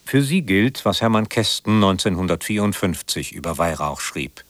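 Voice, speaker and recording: A man reading a scripted biographical introduction as a radio presenter, in a measured, formal manner. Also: sits low, expressive, no echo.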